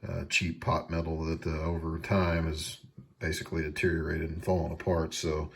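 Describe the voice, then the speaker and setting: Low-pitched monotone man explaining a repair. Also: normal speed, gravelly, slight background noise. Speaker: repair video host. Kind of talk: casual hands-on repair tutorial.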